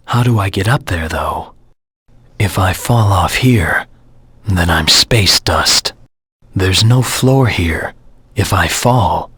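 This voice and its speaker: Game role: vengeful creature anti-hero, very husky and gravelly, terse and grim, close dry sound. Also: male voice, deep voice, sharp tone, no echo, full character voice. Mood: guarded, focused. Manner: grim, terse, self-serious. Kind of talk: video game character voice lines.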